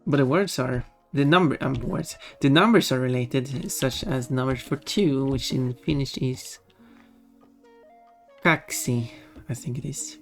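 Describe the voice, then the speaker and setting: A casual streamer with a mid-pitched voice of ambiguous gender chats over soft music. The talk rambles and doubles back to correct itself, with giggly little asides. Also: measured pace. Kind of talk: casual livestream chat. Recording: music behind the voice. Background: background music.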